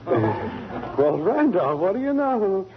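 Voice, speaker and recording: A man's voice: high voice, wildly swooping pitch, takes it slowly, exaggerated voice. An American man acting a sheepish, flustered middle-aged father in a 1940s radio comedy, hesitant and blustery. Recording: noisy, muffled 1940s radio recording.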